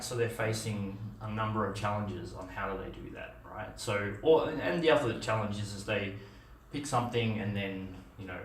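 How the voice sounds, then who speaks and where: A man's voice: on the low side, slight background noise. A middle-aged man with an Australian accent gives a meetup talk in a casual, conversational way, full of fillers and restarts. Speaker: meetup speaker. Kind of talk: conference talk. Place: dim meetup room with a projector screen. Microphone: far camera. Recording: echoey room, distant mic.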